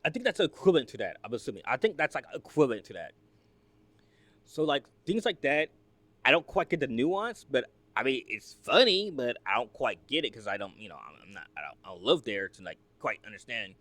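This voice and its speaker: A man's voice: medium pitch, sing-song, fairly quick, a bit bright, no room sound. A man from the United States hosting a reaction video, talking casually in a rambling, filler-heavy, chatty way.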